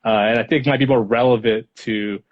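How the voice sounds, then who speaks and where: Man in his 30s, Spanish his first language, thoughtful and hedging guest, mid pitch, dull audio. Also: steady tone, a little fast. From Los Angeles. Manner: reflective, hedging with many fillers. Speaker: guest speaker, lawyer. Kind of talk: casual interview answers.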